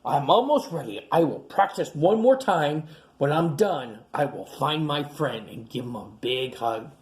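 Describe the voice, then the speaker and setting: A woman's voice: low voice, animated, a little slow, a little mellow. A woman voicing a tiny, earnest young male dinosaur in a read-aloud children's story. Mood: determined.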